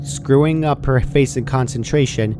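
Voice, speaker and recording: Man narrating a story over clear noise. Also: medium pitch, normal speed. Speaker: fan fiction narrator. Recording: clearly noisy home recording.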